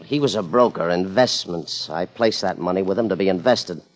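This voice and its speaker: An actor playing a guarded, politely hesitant investor questioned by police in a 1950s radio crime drama. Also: male voice, low voice, moderate pace, natural voice. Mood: uneasy, rueful. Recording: muffled vintage radio broadcast, music.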